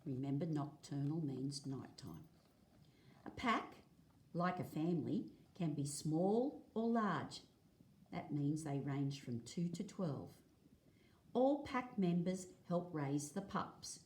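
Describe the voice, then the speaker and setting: A woman reading aloud as a teacher, explaining in a measured way, phrase by phrase. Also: low-pitched, lively intonation, a little mellow. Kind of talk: read-aloud lesson of a non-fiction picture book. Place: indoors at a table, filming a book.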